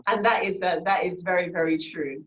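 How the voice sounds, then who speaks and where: A woman from Barbados hosting an interview. She talks in an effusive, animated way and gives big praise. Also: fairly low pitch, brisk. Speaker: interview host. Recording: echoey, dull sound.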